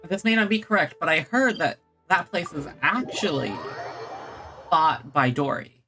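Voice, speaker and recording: Casual game streamer: animated, fry-edged voice, halting wry asides, faint music behind. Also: mid-range voice. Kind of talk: casual gaming commentary.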